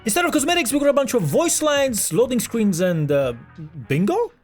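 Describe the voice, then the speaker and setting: A man's voice: extremely high pitch, very animated, normal speed, soft background music. A man hosting a scripted esports news video in a casual, sarcastic, jokey way with some swearing. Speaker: video host.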